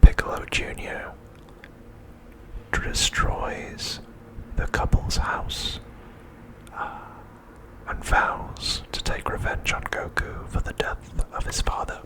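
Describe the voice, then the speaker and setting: A man narrates in a steady ASMR whisper, soft and unvoiced. His delivery is slow and deliberate, leaving pauses between phrases as he reads out summaries. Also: low-quality recording. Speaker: host of an ASMR channel.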